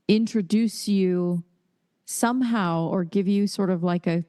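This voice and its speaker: A woman's voice: mid-range voice, unhurried, dark tone. A woman co-hosting a podcast in a casual, conversational style. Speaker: podcast co-host. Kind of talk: casual chat.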